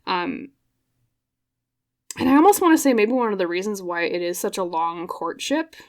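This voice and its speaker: A woman's voice: mid-range voice, lively intonation, moderate pace. A woman giving an intuitive tarot reading into a microphone, her voice a little scratchy, rambling along and often checking in with "okay?". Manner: rambling and reflective, tag questions like "okay?". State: slightly hoarse, scratchy voice. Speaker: tarot reader.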